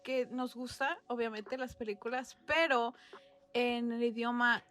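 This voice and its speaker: A woman's voice: fairly high pitch, fairly even intonation, unhurried, fairly bright tone. A woman from Mexico co-hosting a casual podcast, laughing often and dropping English words into her Spanish. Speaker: podcast co-host. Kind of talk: casual chat.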